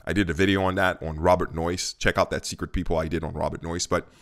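Deep, gravelly-voiced man vlogging from home. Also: flat delivery, fast. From Haiti. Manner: conversational and candid, with frequent fillers. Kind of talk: casual talking-head vlog. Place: home kitchen, indoors. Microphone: studio mic on a stand in front of him.

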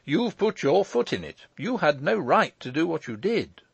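Breezy, teasing lawyer nephew, acted by a male reader: raised pitch with big swings, eager and emphatic. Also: very animated, moderate pace, no room sound. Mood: scolding, emphatic. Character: brisk, teasing, exuberant gentleman lawyer nephew.

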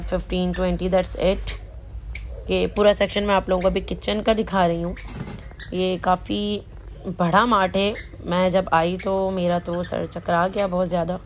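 A woman's voice: fairly low pitch, slight background noise. A woman vlogger casually narrating a walk through a big supermarket, chatting easily and reading out prices as she goes. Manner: chatty, mixes English words and prices into her talk. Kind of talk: casual shopping vlog narration. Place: large supermarket, indoors.

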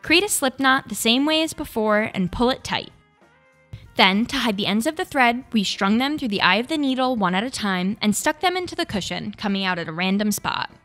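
Craft tutorial host: young female, fairly high pitch, scripted instructional read over background music. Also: lively intonation, brisk, fairly bright tone. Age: young adult.

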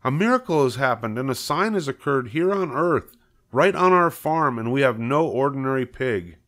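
A man's voice: fairly low pitch, big pitch swings, normal speed. A man voicing an earnest, solemn farmer in a storybook, awestruck and emphatic. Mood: awestruck, insistent.

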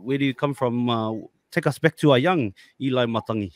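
Easygoing New Zealand-born podcast host. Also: male voice, medium pitch, fairly quick, no echo. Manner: warm, easygoing, calls guest brother. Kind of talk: casual chat. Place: home studio. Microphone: large studio mic with headphones.

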